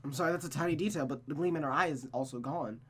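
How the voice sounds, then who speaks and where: A woman's voice: low-pitched, very fast, husky. Woman streamer reading and commenting, music behind. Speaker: streamer reading a visual novel aloud. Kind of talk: story narration and casual game commentary.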